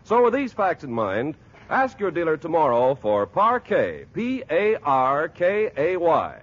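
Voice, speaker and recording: A man's voice: on the high side, huge pitch swings, measured pace. An American man announcing on 1940s radio with polished, emphatic salesmanship, on an old muffled recording.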